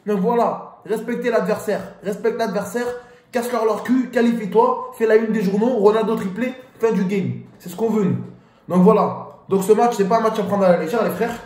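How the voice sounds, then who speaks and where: A man's voice: high voice, moderate pace. A man giving a casual, slangy pre-match football rant as host of his channel. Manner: animated, slangy street talk. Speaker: football YouTuber. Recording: echoey room.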